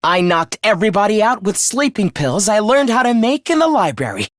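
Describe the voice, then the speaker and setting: A young male video game traitor with a voice that swings widely in pitch. He is gloating, contemptuous and genocidal, delivering his villainy with theatrical menace. The sound is dry and close. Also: sing-song, brisk, fairly bright tone, no echo. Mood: smug, coldly confessing. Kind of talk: video game voice acting, villain confession monologue.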